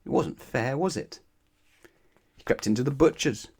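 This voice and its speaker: A man's voice: mid-range voice, moderate pace, vocal fry, a little mellow, husky. A man narrating a children's bedtime story expressively, putting questions to his young listeners. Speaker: storybook reader.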